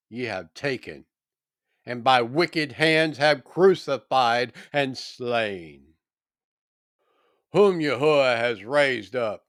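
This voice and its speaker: A man reading scripture solemnly from a script, with deliberate, dramatic oratorical phrasing. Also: medium pitch, clear, resonant tone, no echo, clean studio sound. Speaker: scripture reader.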